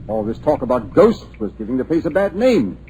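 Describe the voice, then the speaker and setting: An actor playing a flustered, defensive manor owner. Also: male voice, medium pitch, big pitch swings, fairly quick, dark tone, slight background noise, natural voice. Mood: indignant. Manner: defensive and indignant. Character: flustered middle-aged male manor owner.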